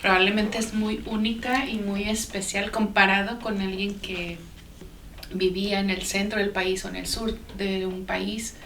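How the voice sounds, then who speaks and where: A woman's voice: mid-range voice, little pitch movement, measured pace. Female interview host, distant from the mic, roomy sound, faint noise. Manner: warm, frequent backchannel affirmations. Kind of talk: interview conversation.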